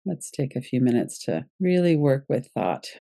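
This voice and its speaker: A woman guiding a yoga nidra meditation as its teacher, giving gentle, unhurried instructions with pauses. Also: rumbling low, very animated, creaky, no room sound, clean studio sound.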